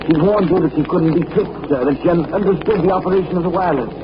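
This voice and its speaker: A man's voice: high voice, quick pace, close to an everyday voice. A man playing a flustered, polite sidekick. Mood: puzzled. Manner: polite, deferential, stammering. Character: earnest, flustered loyal male sidekick. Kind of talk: 1940s radio acting. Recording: muffled, noisy 1940s radio broadcast.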